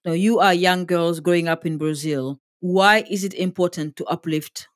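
Low-voiced woman podcast host. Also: steady tone, normal speed, no echo, clean studio sound. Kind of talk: interview podcast.

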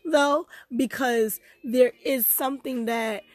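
A young woman hosting a personal podcast in casual chat, bubbly and quick to laugh, her voice hoarse from a sore throat. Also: sits high, no echo. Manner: bubbly, chatty, laughs easily.

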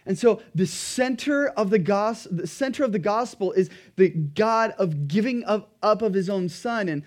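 A pastor preaching a sermon in an earnest, emphatic way, his throat a little dry and raspy. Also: high voice, lively intonation, moderate pace.

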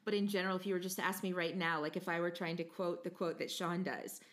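A woman's voice: on the low side, steady tone, quick pace. An American woman co-hosting a podcast, chatting casually, laughing often and slipping into playful mock accents. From United States. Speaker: podcast co-host.

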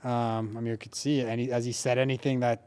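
A man hosting a casual podcast, telling stories in an animated way with lots of filler words like "like" and "dude.". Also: medium pitch, fast talker, clean tone. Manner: animated storyteller, heavy use of "like" and "dude". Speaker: podcast host.

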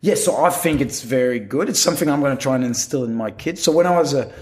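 Man, quebec french accent, middle-aged, casual interview guest, hesitant restarts, mid pitch. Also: fast talker. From Quebec, Canada. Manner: rambling, many restarts and fillers. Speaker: guest, professional magician.

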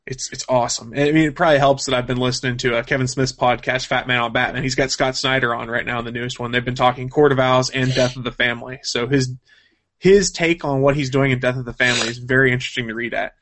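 A man's voice: medium pitch, little pitch movement, fast, fairly bright tone. A man speaking as a co-host in casual, unscripted chat, explaining things in a geeky, conversational way. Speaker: co-host of a gaming and comics news show.

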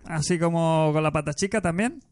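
A man's voice: a little high, brisk, a little mellow, dry recording. Guy from chatting about games, thoughtful, lots of restarts, dry close sound. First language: Spanish. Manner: reflective, restarts often, emotional recalling. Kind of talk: casual chat about video games.

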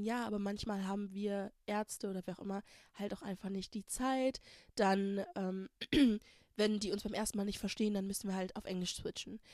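A woman's voice: medium pitch, darkish tone, no room sound. Candid young woman, dry close sound. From Germany. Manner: candid, emphatic, mixes English words into German. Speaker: podcast host. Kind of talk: casual personal monologue.

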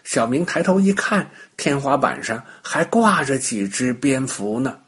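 A man tells a bedtime story to young children. His voice is husky and breathy, and he moves through it slowly with very lively, swooping intonation. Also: medium pitch. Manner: animated, unhurried, child-directed.

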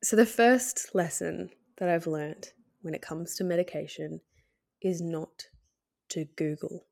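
A woman's voice: lowish voice, measured pace, creaky, darkish tone. A woman hosting a podcast in a casual, candid, hesitant way, her voice nasal and slightly scratchy from a cold. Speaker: podcast host. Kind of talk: casual solo podcast talk.

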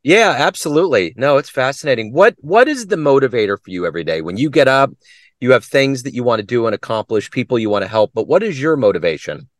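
A man's voice: medium pitch, very animated, fast talker, no echo, studio quality. A man hosting an interview, asking upbeat questions. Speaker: interview host.

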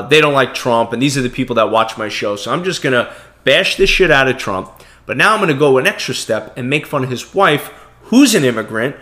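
A man's voice: medium pitch, sharp tone. A man from Brooklyn, New York, with a Brooklyn accent, co-hosting a podcast in blunt, heated casual talk. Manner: blunt, heated, repeats words. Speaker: podcast co-host. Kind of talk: casual opinionated chat.

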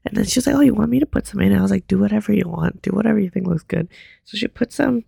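A young woman co-hosting a casual podcast chat, hoarse as she loses her voice, laid-back and swearing casually. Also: medium pitch, racing, dark tone, no echo, studio quality. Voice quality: raspy, gravelly. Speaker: podcast co-host.

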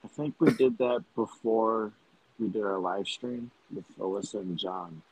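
A young man co-hosting a podcast, high and mellow, answering in short, relaxed replies. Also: unhurried, low-quality recording. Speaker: podcast co-host. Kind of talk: casual chat.

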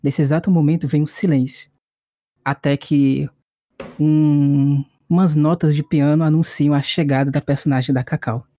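A man narrating as game master of a tabletop horror-comedy game, slow and halting with restarts. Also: fairly high pitch, steady tone, dark tone, no echo.

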